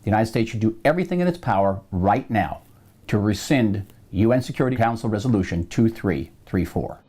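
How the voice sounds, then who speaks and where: A man speaking in a mellow, low-key tone while delivering a forceful political argument. He presses each point insistently and builds toward a call to action, speaking straight to the viewer. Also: expressive, a little fast. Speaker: political commentator. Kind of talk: impassioned political address to camera.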